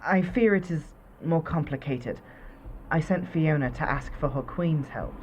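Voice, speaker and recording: A performer voicing a grave shapeshifter leader who speaks in a formal, measured way, heard as a muffled lo-fi phone call. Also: mid-range voice, slight background noise, natural voice.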